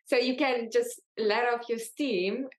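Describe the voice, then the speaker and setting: A woman's voice: a little high, fairly even intonation, takes it slowly. A woman hosting a podcast interview who asks short, curious questions. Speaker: podcast host.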